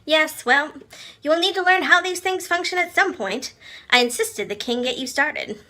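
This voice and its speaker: Lady doing a teasing bossy palace nursemaid from a storybook, highish and bright, very proper. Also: moderate pace. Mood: teasing, insistent. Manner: formal, storybook courtly phrasing. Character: veiled lady caretaker, gently bossy, teasing, affectionate royal nursemaid.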